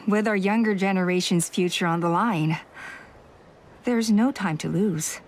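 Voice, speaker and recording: A woman playing a dutiful female clan elder in a video game, speaking in a measured, formal manner. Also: mid-range voice, natural voice. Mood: earnest.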